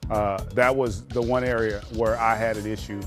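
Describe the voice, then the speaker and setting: A man's voice: fairly low pitch, flat delivery, normal speed, over music. A man working through his reasoning as a basketball analyst in a conversational studio debate.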